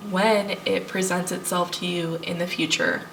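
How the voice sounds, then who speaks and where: A woman with a stuffy cold, reading tarot cards for viewers in a relaxed, reassuring way. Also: on the low side, moderate pace, creaky, recorded from a distance, slight background noise, low-quality recording. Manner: thinking aloud, reassuring, addresses viewers as piles. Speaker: tarot reader. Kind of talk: pick-a-card tarot reading.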